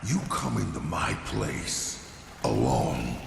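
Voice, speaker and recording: Big crude demon boss from a game, really slow taunting growl, wild swings, rumbly noise behind. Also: male voice, wildly swooping pitch, vocal fry, reverberant, heavily acted. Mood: threatening. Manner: taunting, menacing. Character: huge brutish gloating demon warlord, crude and threatening. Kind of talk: dramatic game villain acting. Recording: very noisy, rumbling background.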